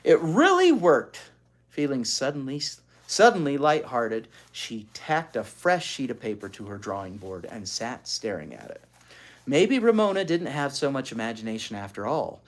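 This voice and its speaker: An expressive storyteller reading a children's book aloud, pausing to explain things to young listeners. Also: medium pitch. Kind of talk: animated read-aloud with asides to child listeners.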